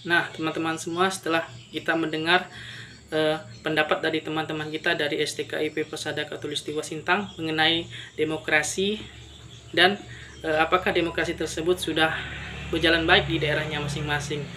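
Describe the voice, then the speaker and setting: A man's voice: a little high, flat delivery, fairly quick, bright, forceful tone. A young man, hosting an informal video and summing up in a hesitant, repetitive way. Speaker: interviewer and host. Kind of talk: informal presentation to camera, summing up. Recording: faint background noise.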